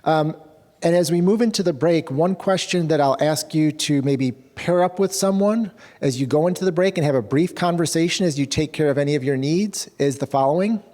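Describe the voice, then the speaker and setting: A man in a measured, reflective tone guiding a workshop group into a break. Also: a little high, warm tone. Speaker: program director. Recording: hall reverb from a room mic.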